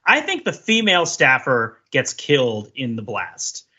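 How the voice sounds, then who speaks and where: Bright-voiced man podcasting, slightly lo-fi. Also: mid-range voice, wildly swooping pitch, measured pace, husky. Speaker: podcast host. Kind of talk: casual analytical discussion of a TV show.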